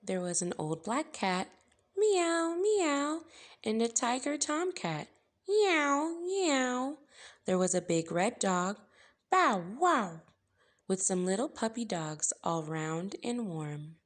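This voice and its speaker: A woman reading a picture book aloud for young children as a storytime host, with measured, gentle phrasing and playful emphasis. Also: lowish voice, lively intonation.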